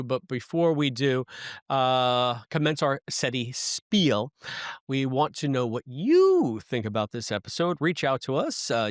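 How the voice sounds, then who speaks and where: A man's voice: fairly high pitch, clear, resonant tone, no echo. A middle-aged man chatting casually as a podcast co-host, jokey and self-deprecating, speaking close to the microphone. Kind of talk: casual podcast chat and episode review.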